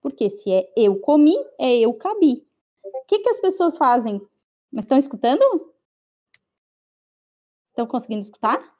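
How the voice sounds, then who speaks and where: A woman's voice: sits high, very animated, moderate pace, long pauses, dark tone. A woman with a Brazilian Portuguese accent teaching an online class, explaining patiently and checking in with her students often.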